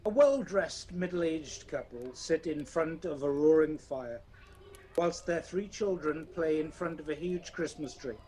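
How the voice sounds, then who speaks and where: A man's voice: on the high side, a little slow, warm tone, close to an everyday voice. A man acting as the storybook narrator of a ghost tale, reading scripted radio play narration. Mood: measured storytelling. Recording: faintly noisy home recording.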